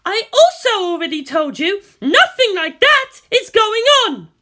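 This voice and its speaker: A woman's voice: very high-pitched, big pitch swings, unhurried, sharp tone, voice pushed up, exaggerated voice. A woman voicing an exasperated, bossy but friendly female guardian in a story, with emphatic, insistent delivery.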